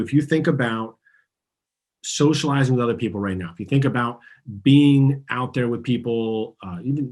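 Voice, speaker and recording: Husky-voiced man coaching tapping. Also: mid-range voice, darkish tone. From born in Argentina, raised in the US. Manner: earnest, guiding, has listeners repeat after him. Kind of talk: guided group tapping session.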